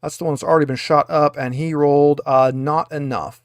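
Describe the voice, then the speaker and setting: A man's voice: fairly high pitch, steady tone, brisk, no room sound, studio quality. A man working as game master, casually explaining rules and results in a tabletop roleplaying game.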